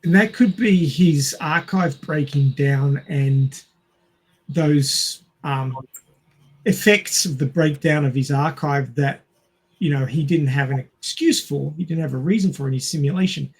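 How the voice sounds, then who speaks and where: A man's voice: on the high side, unhurried, slightly rough, poor audio. A man on a podcast panel, explaining his view in casual discussion.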